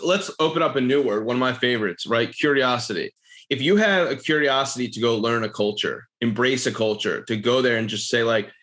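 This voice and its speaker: A man from Philadelphia hosting a podcast, talking in an earnest, animated way and often restarting his phrases. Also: medium pitch. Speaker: podcast host. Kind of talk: casual conversation.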